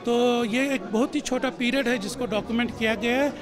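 High-voiced Kashmiri man with music behind. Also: brisk. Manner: mixes English fillers, reflective. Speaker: guest at a book launch. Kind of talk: news interview. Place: hallway at a launch event, indoors. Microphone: handheld news mic close up.

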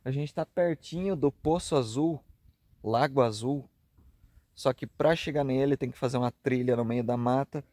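Man, 30s, southern Brazilian accent, mellow dark voice, chatty travel vlog, camera at arm's length outdoors, dry close sound. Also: medium pitch, normal speed, dry recording. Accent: southern Brazilian, Rio Grande do Sul. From Rio Grande do Sul, southern Brazil. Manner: chatty and easygoing, with frequent tag questions. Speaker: travel vlogger. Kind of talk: casual vlog narration. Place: outdoor campsite on a grassy hilltop, earlier inside a car at night. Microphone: camera held at arm's length.

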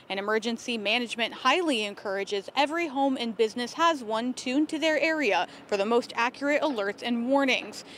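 A woman's voice: fairly high pitch, slight background noise. A woman in her twenties reporting a scripted television news story into a handheld microphone. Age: 20s. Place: outdoors on a street by a building, live stand-up.

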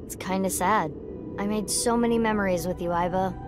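A woman voicing a composed young female agent in a video game, speaking in a calm, matter-of-fact way. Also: mid-range voice, brisk, close to an everyday voice. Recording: game audio with background music.

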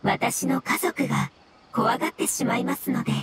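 A woman's voice: a little high, animated, normal speed, very noisy, lightly acted. A woman voicing a courteous, scholarly mushroom creature in a game, speaking formally as if explaining. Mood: polite, earnest. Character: polite, scholarly floating mushroom creature of an ancient race. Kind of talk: Japanese game voice acting, measured dialogue.

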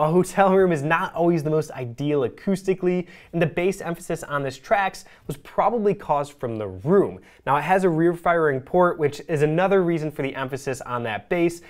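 A man in his thirties presenting a scripted product review to camera from a studio, polished and upbeat. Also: on the high side. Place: studio with speakers behind.

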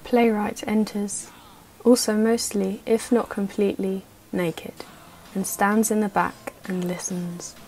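Woman, narrator, scripted stage directions, slow measured pace, mid pitch. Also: flat delivery, a little mellow, a little hiss or hum, poor audio.

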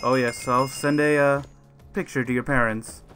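A man's voice: medium pitch, steady tone, normal speed, fairly bright tone, lightly acted. A man playing a dry, evasive adult guardian in an improvised game roleplay, understated and hesitant. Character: adult male guardian, dry and evasive, minding two teens. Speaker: roleplay actor in a Minecraft series. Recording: music and chimes behind the voice.

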